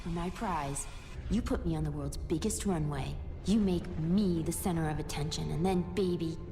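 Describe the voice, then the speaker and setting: An actress playing a vain, bitter masked model with a haughty, dramatic delivery in a video game. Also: female voice, low voice, moderate pace, a little hiss or hum. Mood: defiant. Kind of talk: cinematic video game acting. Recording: loud music behind the voice. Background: loud cinematic music.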